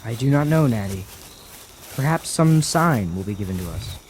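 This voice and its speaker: A man's voice: mid-range voice, animated, moderate pace, a little mellow, a little hiss or hum, lightly acted, poor audio. A man playing a cautious, earnest young traveler in a fantasy audio drama, speaking formally as an anxious protector.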